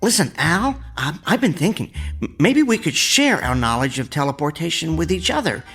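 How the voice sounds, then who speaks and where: An actor playing a mild, henpecked amateur inventor in a radio drama, hesitant and deferential with a slight stammer. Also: male voice, fairly high pitch, expressive, moderate pace, a bit bright, husky, soft background music, exaggerated voice. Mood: eager, friendly.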